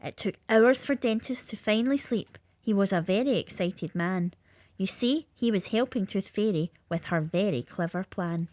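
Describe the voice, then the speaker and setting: A woman's voice: medium pitch, moderate pace, a little mellow, dry recording. A woman with a lilting, sing-song delivery, reading a rhyming children's story aloud.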